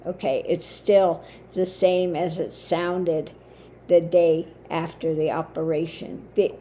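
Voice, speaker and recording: Woman host, low and mellow, voice worn down after surgery, unhurried and halting. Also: a little hiss or hum. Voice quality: lowered, limited voice. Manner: slow, halting, with many fillers. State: voice weakened after throat surgery. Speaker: spiritual channel host. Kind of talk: casual monologue to camera.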